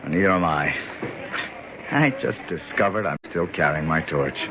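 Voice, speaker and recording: A man's voice: a bass voice, animated, normal speed, background noise. An actor playing a tough, wisecracking male hero who narrates his own story in a radio drama, clipped and blunt. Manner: clipped, wisecracking, hard-boiled. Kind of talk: 1970s radio drama acting with first-person narration. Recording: dull lo-fi radio recording.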